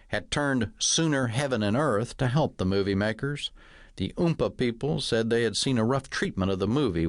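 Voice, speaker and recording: A man reading a first-person comic novel as audiobook narration, in a measured, dryly humorous storytelling manner. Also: lowish voice, no room sound, clean studio sound.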